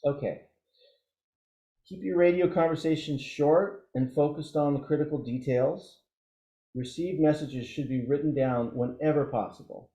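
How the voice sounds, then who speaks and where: A man giving an informal training presentation as an instructor, explaining things haltingly and thinking aloud through examples. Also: a little high, dark tone. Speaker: emergency radio trainer. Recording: dull, roomy, echoey audio.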